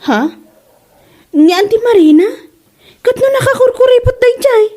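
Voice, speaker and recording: A woman's voice: extremely high pitch, lively intonation, normal speed, mellow. A woman playing an anxious, naive young sister in a comedy drama, plaintive and full of questions. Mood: worried, plaintive. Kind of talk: comedy drama voice acting in Ilocano.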